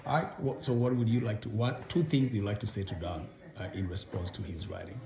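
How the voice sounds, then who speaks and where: A man's voice: on the low side, fairly quick, vocal fry. A middle-aged man asking questions casually, rephrasing as he goes and prompting for answers. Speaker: interviewer, father of the guest. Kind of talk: casual interview. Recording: roomy echo with music behind.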